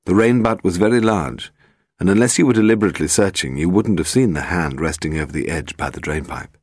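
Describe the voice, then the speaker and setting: British man, low-pitched, expressive intonation, scripted novel narration, dry studio sound. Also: brisk, dry recording. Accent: British English. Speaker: audiobook narrator.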